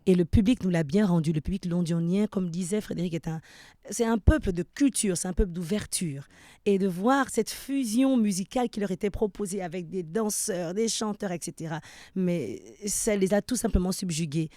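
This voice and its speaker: A woman's voice: fairly low pitch, normal speed, warm tone, no echo. A woman with a Gabonese French accent, a performing artist speaking as a guest in a TV studio interview, fluent and formal.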